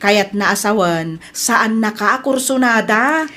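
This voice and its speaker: A woman's voice: medium pitch, lively intonation, slow, a bit bright. A woman with a Filipino Ilocano accent playing a frank, sceptical friend in a drama. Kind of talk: Ilocano radio-style drama acting.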